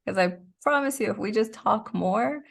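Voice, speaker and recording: A woman's voice: fairly high pitch, moderate pace, creaky. A woman speaking as an interview guest, direct and emphatic, in short declarative sentences. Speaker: interview guest, performer and podcaster. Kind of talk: conversational interview talk.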